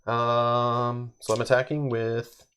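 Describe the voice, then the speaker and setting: A man's voice: mid-range voice, monotone, takes it slowly, fairly bright tone, smooth. A man hosting a live board game stream in casual chat, explaining things at length in a conversational way.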